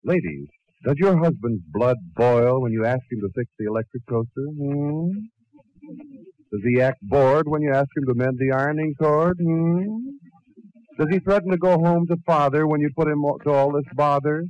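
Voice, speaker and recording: A man's voice: a little high, normal speed, darkish tone, no echo, lo-fi sound. A man announcing a radio comedy from a script in 1940s style. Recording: old 1940s radio recording.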